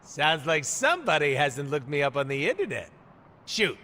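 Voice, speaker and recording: An actor playing a cocky, irreverent mercenary antihero in a video game, with a jokey, sarcastic, theatrical delivery. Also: male voice, on the high side, wildly swooping pitch, normal speed, pushed and bright, heavily acted. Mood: flippant. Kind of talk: video game cutscene acting.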